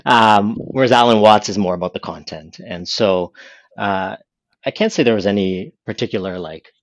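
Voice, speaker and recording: A man's voice: fairly low pitch, moderate pace, no echo. A man from Canada, a podcast guest, explaining his views thoughtfully and at length. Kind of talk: casual conversation.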